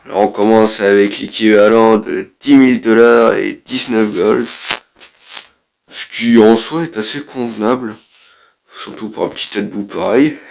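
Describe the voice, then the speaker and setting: A man's voice: lowish voice, vocal fry, a little hiss or hum. A man, who has a cold, giving casual, blunt let's play commentary as a gaming YouTuber and swearing offhandedly.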